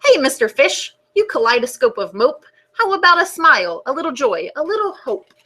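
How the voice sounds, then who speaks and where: Squeaky teasing female squid, voiced by a woman, high and bright. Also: sing-song, moderate pace, full character voice. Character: squirmy, slightly impolite female squid.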